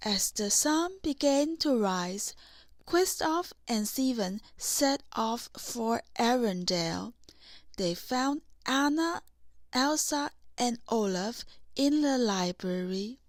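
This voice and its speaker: A woman narrating a scripted children's storybook in deliberate, separate phrases. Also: fairly high pitch, animated, no room sound. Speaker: audiobook narrator.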